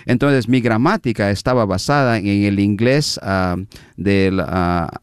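A middle-aged man from Guatemala, a minister being interviewed, telling a story in an unhurried, reflective way with many fillers. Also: on the low side, smooth, dry recording, studio quality.

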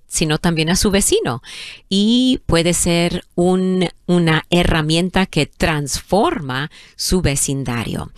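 Deep, slow-speaking woman host. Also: no echo, clean studio sound. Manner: deliberate, measured questions. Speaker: radio show host. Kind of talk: hosted radio interview.

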